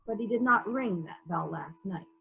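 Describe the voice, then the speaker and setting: A woman playing a brisk, authoritative police detective who puts pointed questions in a murder mystery reading, on slightly dull lo-fi audio. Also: medium pitch, animated, gravelly, husky, exaggerated voice. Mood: pointed, skeptical.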